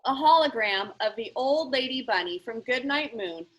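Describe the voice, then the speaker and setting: A woman reading a children's book aloud as an expressive storyteller. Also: fairly high pitch, moderate pace, poor audio. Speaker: story reader.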